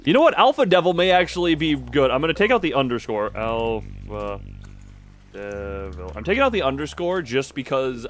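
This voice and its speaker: A man giving casual live commentary on a game as he streams, thinking out loud and often breaking off mid-sentence. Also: medium pitch, a little hiss or hum.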